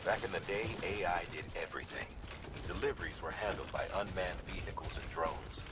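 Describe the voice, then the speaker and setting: An actor playing a jaded, world-weary man in a video game, delivering matter-of-fact, disdainful exposition. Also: low voice. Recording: distant voice under heavy noise.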